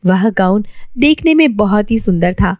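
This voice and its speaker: A woman's voice: medium pitch, animated, unhurried, darkish tone, dry recording, studio quality. A woman narrating a scripted story for children as a storyteller.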